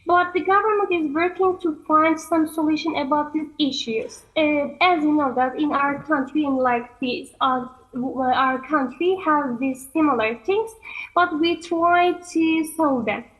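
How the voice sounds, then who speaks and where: A woman from Turkey, an English teacher giving careful, halting interview answers. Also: sits high, poor audio.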